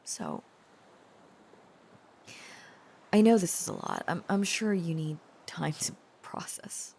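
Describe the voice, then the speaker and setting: A woman playing a tender, protective dragon-blooded girlfriend in an intimate romantic audio roleplay, halting and earnest, often slipping into whispers. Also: fairly low pitch, very animated, vocal fry, darkish tone, slightly rough, full character voice. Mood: earnest, confessional. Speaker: voice actor.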